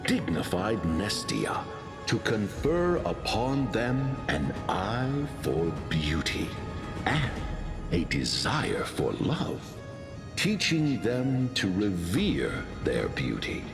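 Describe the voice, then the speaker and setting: A solemn storyteller of old legends in a video game. He speaks slowly and gravely, with wide dramatic swings in pitch. Every phrase is measured, and music plays clearly beneath him. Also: huge pitch swings, exaggerated voice. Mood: solemn, reverent. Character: solemn male narrator of an ancient myth. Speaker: narrator. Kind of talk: solemn mythic storytelling narration. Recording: music under the voice.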